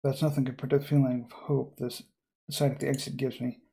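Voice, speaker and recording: Gravelly man hosting a game playthrough. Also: medium pitch, little pitch movement, fast talker, warm tone. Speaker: gaming video host. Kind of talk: reading visual novel narration aloud with casual commentary. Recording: game music behind the voice.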